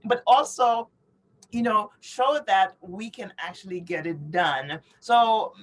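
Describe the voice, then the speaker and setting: A middle-aged woman with a mid-range voice speaks as an interview guest. She explains her points earnestly and checks in often with the listener. She was born in Haiti and raised in New York City. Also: mid-range voice. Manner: earnest, explanatory, frequently checks with "you know" and "right?". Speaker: interview guest, author and advocate. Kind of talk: interview answers.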